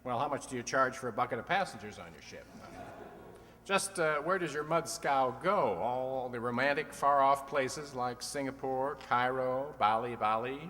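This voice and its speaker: Man acting as old-time radio quizmaster, teasing wisecracker, bright forceful voice, long hall reverb. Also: mid-range voice, moderate pace, lightly acted. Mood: wisecracking. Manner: wisecracking, mock-obtuse, needling questions. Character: wisecracking, teasing male comedian quiz show host. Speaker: quiz show host. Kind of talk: recreated old-time radio comedy quiz show.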